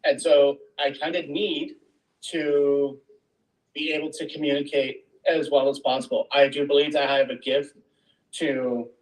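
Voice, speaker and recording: A man's voice: on the high side, little pitch movement, measured pace, recorded from a distance, rough recording. A man in an online workshop earnestly explaining why he wants to communicate better. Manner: earnest, thinks aloud with fillers. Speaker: workshop participant. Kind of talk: casual personal sharing.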